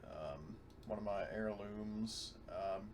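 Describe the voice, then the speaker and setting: A man's voice: lowish voice, slow, a little hiss or hum. A man chatting casually and a bit profanely as a player in an online tabletop game.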